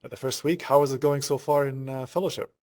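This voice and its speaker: A man hosting a conversational online interview, pausing often in the middle of his questions. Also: mid-range voice, fairly even intonation, darkish tone. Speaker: interview host.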